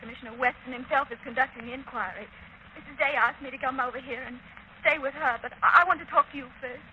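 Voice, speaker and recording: High-voiced, anxious society woman. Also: steady tone, fast, bright, forceful tone. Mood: distressed. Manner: earnest, agitated. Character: anxious society woman, loyal crime-solving assistant. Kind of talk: 1930s radio acting. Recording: muffled, hissy 1930s radio recording.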